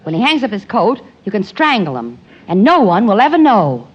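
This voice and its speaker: An actress playing a flirty, scheming, unfaithful wife in an arch, melodramatic 1940s radio comedy style. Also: female voice, mid-range voice, very animated, normal speed, slightly rough, muffled recording. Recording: noisy old radio recording.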